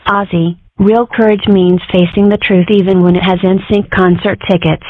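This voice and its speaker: A woman's voice: mid-range voice, little pitch movement, moderate pace, dry recording, natural voice. A woman voicing an inquisitive, dramatic young cartoon girl in a comic strip dub, with wry, theatrical musing. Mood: scheming, musing. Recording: muffled phone-like audio.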